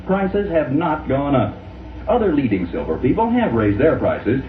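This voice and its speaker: Animated, smooth-selling vintage radio pitchman, muffled. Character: Mister Smith, a smooth-selling radio announcer man. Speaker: radio announcer. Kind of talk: old-time radio advertising pitch. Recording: muffled vintage radio broadcast, roomy, distant.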